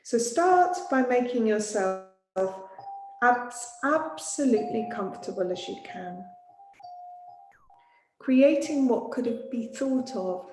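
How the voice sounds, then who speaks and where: A woman teaching yoga nidra, guiding a sleep meditation in a gentle, soothing, unhurried manner with long pauses. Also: a little high, expressive. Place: small studio. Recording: roomy echo, music behind voice.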